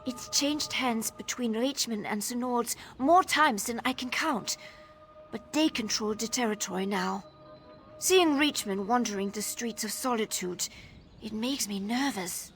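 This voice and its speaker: Young Nord townswoman, high voice, guarded, world-weary, fantasy game dialogue, music underneath. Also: unhurried, fairly bright tone. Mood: distrustful, anxious. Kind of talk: video game dialogue acting.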